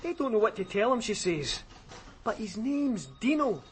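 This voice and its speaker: High-voiced, flustered policeman. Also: big pitch swings, faint music behind. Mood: hurried. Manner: hurried, informal. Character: flustered, urgent male policeman. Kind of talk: naturalistic radio drama acting.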